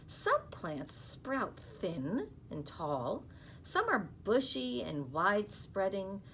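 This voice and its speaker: A woman in her fifties reads a picture book aloud to children, expressively and unhurriedly, with soft explaining asides. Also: medium pitch. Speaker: school storytime reader. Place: small office, indoors. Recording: clearly noisy small-room recording.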